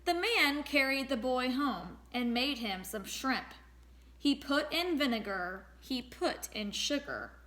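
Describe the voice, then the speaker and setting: A woman reading a storybook aloud as a teacher, expressive and pausing to address young listeners. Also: high-pitched. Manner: expressive, acts out sound words.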